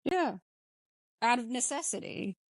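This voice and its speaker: A woman's voice: on the high side, lively intonation, unhurried, dry recording, studio quality. A woman hosting a podcast, talking casually through a film discussion. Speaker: podcast host.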